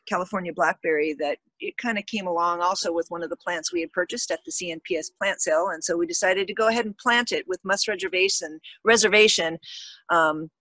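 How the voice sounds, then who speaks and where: A woman's voice: lowish voice, a bit bright, dry recording, rough recording. A woman giving a casual tour of her backyard garden, explaining plants in a friendly, explanatory way.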